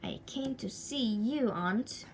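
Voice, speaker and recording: A woman's voice: a little high, lively intonation, slow pace, darkish tone, slight background noise. A woman voicing a polite, hungry young boy in a storybook, speaking with hesitant restraint.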